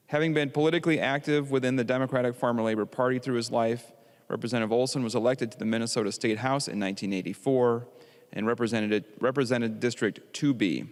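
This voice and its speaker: American man speaking in a reverberant hall. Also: mid-range voice. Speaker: legislator. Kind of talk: formal floor speech, memorial tribute. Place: large legislative chamber. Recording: reverberant large chamber hall.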